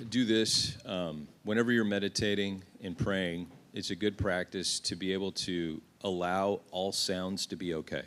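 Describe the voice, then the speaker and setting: Deep-voiced pastor leading soft prayer. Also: male voice, steady tone, unhurried. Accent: Norwegian. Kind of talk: guided prayer meditation. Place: church sanctuary.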